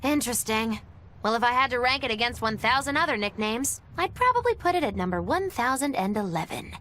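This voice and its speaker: A sly young witch speaks in a high voice that swoops dramatically. She is arch, wordy and grandiose, a teasing anime game character, with faint background noise. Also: very animated, fairly quick, bright, forceful tone. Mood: dry, mocking. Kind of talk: English anime game dub.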